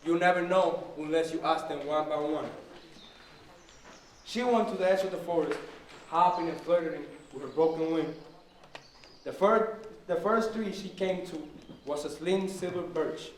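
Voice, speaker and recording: A man's voice: a little high, measured pace, long pauses, lightly acted. A man playing a kindly old forest king who tells stories in a puppet show. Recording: very echoey room, distant mic, faint noise.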